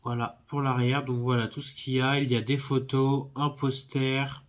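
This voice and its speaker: Man, stuffy with a cold, mid-pitched, casual unboxing chat, friendly, halting with fillers. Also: level tone. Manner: hesitant, lots of filler sounds, friendly. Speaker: film collector YouTuber.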